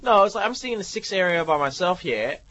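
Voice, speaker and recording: A man's voice: high-pitched, expressive, fast, lo-fi sound. A young Australian man hosting late-night community radio, rambling and joking with his co-hosts. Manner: rambling, jokey, playful shouting. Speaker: radio host.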